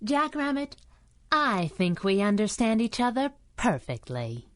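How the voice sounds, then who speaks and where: A woman's voice: fairly high pitch, very animated, measured pace. A woman playing a brash, fearless, slangy superhero sidekick in a 1930s-style radio adventure serial. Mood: wary, scheming. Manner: sassy, slangy, tough-talking.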